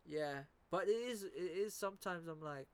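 A man's voice: high-pitched, expressive, moderate pace. Young man with slangy, swearing chat. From Philippines. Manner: slangy, swears a lot, repeats words. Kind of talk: casual chat.

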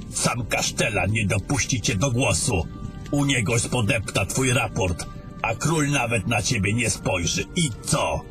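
A man playing a scornful, domineering battle mage in a fantasy audio drama, with a commanding and coarse way of talking. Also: lowish voice.

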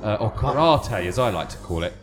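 A man's voice: on the low side, animated, a little fast, over music. A man hosting a podcast, asking questions and teasing his guest with jokes. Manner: joking, teasing interviewer. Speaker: podcast host. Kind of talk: casual chat.

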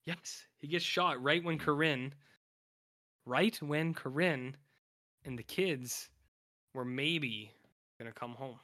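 A man co-hosting a podcast, recapping a TV show in casual chat, his voice raspy and hoarse from talking, rambling with lots of fillers and restarts. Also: a little high, slow, pauses often, bright, forceful tone. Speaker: podcast co-host.

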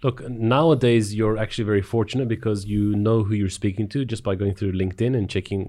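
A man's voice: fairly low pitch, steady tone, quick pace, mellow. A man with a South African accent explaining sales in a conversational way, acting out bits of example dialogue. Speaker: sales expert, interview guest.

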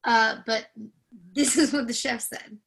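A woman's voice: fairly high pitch, fairly even intonation, unhurried, vocal fry, fairly bright tone, husky, full character voice. A woman playing a sheepish male restaurant server who is new to the job, in a readers theatre drama. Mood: sheepish, defensive.

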